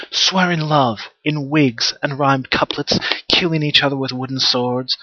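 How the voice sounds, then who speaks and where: A man playing a wounded, indignant travelling stage actor in a theatrical monologue, emphatic and deliberate with long pauses. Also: medium pitch, pushed and bright, dry recording. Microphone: headset. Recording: muffled, dull headset recording.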